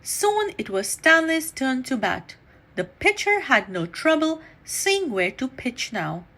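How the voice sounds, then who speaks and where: A woman reading a children's story aloud in an animated, deliberate storytelling manner. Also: high voice. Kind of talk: expressive read-aloud storytime narration.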